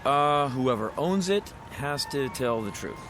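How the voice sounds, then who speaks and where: A man's voice: medium pitch, expressive, unhurried, noisy background, close to an everyday voice. A man playing a slangy used-car lot owner with a salesman's patter in an audio drama. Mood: reluctant, sheepish.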